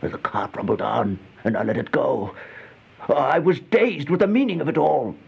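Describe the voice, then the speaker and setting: An actor playing a horrified man telling his nightmare in a halting, gasping, theatrical way, in an old radio horror monologue. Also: medium pitch, animated, gravelly, breathy edge, heavily acted. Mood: dazed, anguished. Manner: halting, gasping, theatrical delivery with drawn-out dread. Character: horrified man narrating his nightmare in a plague-haunted house. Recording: muffled, noisy old radio recording.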